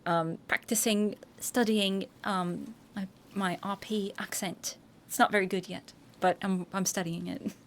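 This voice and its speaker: A woman's voice: mid-range voice, fairly bright tone. Rambling American woman streamer. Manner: rambling, with frequent restarts, swearing and laughter. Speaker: streamer and voice actor. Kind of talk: casual live-stream chat.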